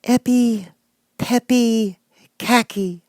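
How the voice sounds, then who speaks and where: A man's voice: very high voice, fairly even intonation, very slow, a little mellow, no room sound, clean studio sound, voice pushed up, heavily acted. A man voicing an earnest, curious young girl in a storybook reading. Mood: chanting. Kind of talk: audiobook character voice.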